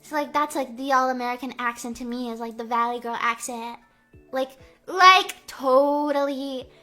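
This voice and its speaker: Bright, bubbly young woman streamer. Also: sits high, soft background music. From US Midwest. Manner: bubbly, playful, heavy "like" filler, drawn-out vowels. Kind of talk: casual live-stream chat reading comments.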